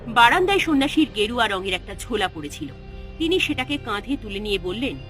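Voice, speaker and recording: A woman narrating a scripted horror story in a dramatic, expressive storytelling style. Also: on the high side, fast. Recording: music under the voice.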